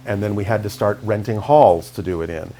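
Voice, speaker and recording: A middle-aged man, raised in California, calmly recounting his experiences as an interview guest. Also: slight background noise. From Canada, raised in California. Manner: calm, measured, low-key delivery. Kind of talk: reflective interview storytelling.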